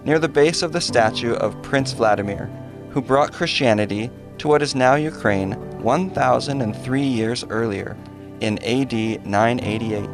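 A man co-hosting a podcast, reading scripted history in an even manner. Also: medium pitch, a little slow, music in the background. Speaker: podcast co-host.

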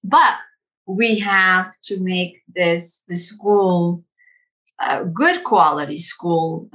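A woman school director telling her story in an interview, animated and conversational, laughing easily. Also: mid-range voice, dull audio. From United States. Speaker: executive director of a language school.

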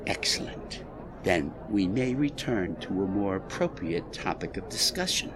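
An actor playing a proud, defensive club boss in a 1920s audio drama, streetwise and combative, sliding between bluster and false politeness. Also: male voice, deep voice, expressive, measured pace, a crowd in the background. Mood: indignant. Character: defensive tough male Chicago athletic club boss, slippery and proud. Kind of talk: audio drama period dialogue.

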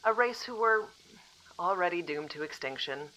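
A woman acting a composed female official from the future in an audio drama, calmly apologetic and matter-of-fact. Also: lowish voice, normal speed, low-quality recording.

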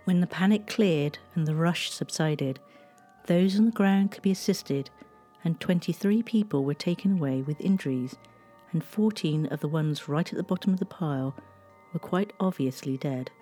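A woman giving scripted history narration in a measured, gently storytelling way. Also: on the low side.

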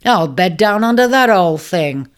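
A swaggering male ram from a storybook, voiced by the reader, raised high and loud above the telling voice. He declares everything with lofty self-importance, a restless wanderer who answers questions like proclamations. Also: expressive, a little slow, close to an everyday voice. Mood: testy. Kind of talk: storybook character voice.

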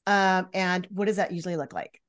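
A woman's voice: on the low side, fairly quick, fairly bright tone. A middle-aged woman co-hosting a podcast, conversational and guiding as she hands over questions. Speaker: podcast co-host, mother. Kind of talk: casual chat.

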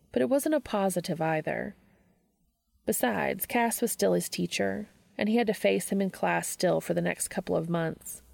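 A woman's voice: on the low side, vocal fry, darkish tone, dry recording. A woman reading scripted fiction as a podfic narrator.

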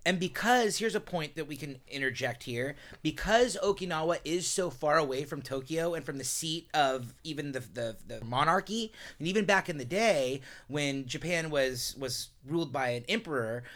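A man's voice: a little high, animated, moderate pace, a bit bright. A man hosting a casual studio talk show, eagerly interjecting with background history. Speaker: host.

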